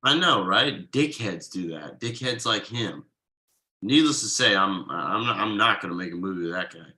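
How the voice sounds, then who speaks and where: A man's voice: lowish voice, expressive, normal speed, sharp tone. A man playing an exasperated, wronged friend and young father in a comedy table read, stammering and incredulous in an emphatic, conversational way.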